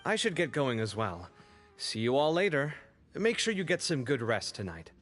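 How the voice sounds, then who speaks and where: A man's voice: mid-range voice, very animated, moderate pace, a bit bright, soft background music. A man voicing a considerate, friendly young companion in a game, easygoing and polite. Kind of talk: game voice acting.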